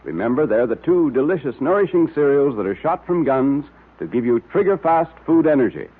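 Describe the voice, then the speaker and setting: Male vintage radio announcer, mid pitch, very lively, selling to kids, phone-thin recording. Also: a little fast, breathy edge. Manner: emphatic, addressing young listeners. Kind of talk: 1930s radio announcing and advertising. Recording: tinny old radio recording.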